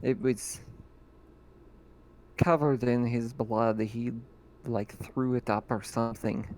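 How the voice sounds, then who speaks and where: A man running a tabletop roleplaying game as game master, describing scenes in a relaxed way with many fillers. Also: medium pitch, takes it slowly, low-quality recording.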